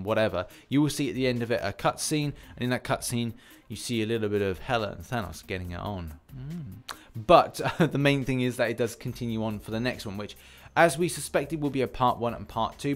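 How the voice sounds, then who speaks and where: A man hosting a gaming news video, talking in a chatty, rambling, conversational way with casual commentary and asides. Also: medium pitch.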